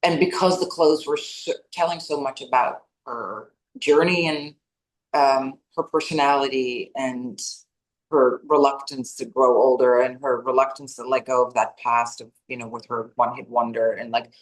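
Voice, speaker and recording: A woman's voice: extremely low pitch, normal speed. Chatty woman with roomy echo, native Dutch. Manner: animated, chatty, many restarts and laughs. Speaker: actress and executive producer. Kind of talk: interview answers.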